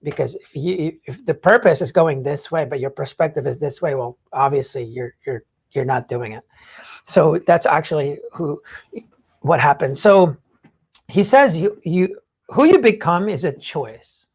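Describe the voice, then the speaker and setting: A man's voice: fairly high pitch, animated, a little slow, vocal fry, slightly rough. A man hosting a podcast in casual motivational talk, explaining things in an informal, rambling way. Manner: informal, rambling explanations peppered with "like" and "you know". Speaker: podcast host.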